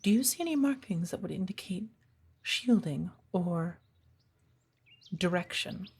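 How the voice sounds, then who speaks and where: A woman playing a stern, patient witch who teaches an apprentice in a soft, breathy ASMR roleplay, speaking in a measured, formal, commanding way. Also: lowish voice, lively intonation, exaggerated voice. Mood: patient teaching. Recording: birdsong behind the voice. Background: birdsong, woodland ambience.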